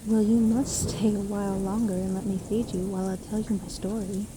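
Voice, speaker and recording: A woman playing a seemingly kindly, sly witch who lives alone in a forest, speaking gently and unhurriedly in a fantasy audio roleplay. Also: mid-range voice, flat delivery, brisk, background noise, lightly acted. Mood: coaxing, hospitable. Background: rain storm ambience.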